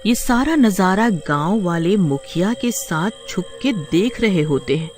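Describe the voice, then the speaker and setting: A man's voice: high voice, animated, a little slow, darkish tone. Male horror story narrator over music.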